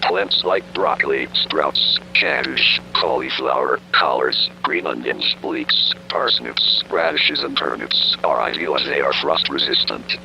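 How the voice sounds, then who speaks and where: Man as very deep, gravelly emergency broadcaster. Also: sing-song, bright, forceful tone, heavily acted. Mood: solemn. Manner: solemn, formal, measured. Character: grave emergency broadcaster reporting national collapse. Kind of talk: scripted emergency broadcast narration. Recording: faintly noisy broadcast with hum.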